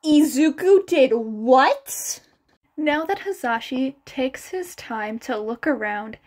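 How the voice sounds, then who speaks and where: A woman reading scripted fan fiction as the narrator of a podfic. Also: sits high.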